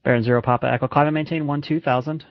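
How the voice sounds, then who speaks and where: A man's voice: medium pitch, fairly even intonation, racing, dry recording. A man working as an air traffic controller, speaking clipped radio phraseology. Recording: dull lo-fi radio and landline audio.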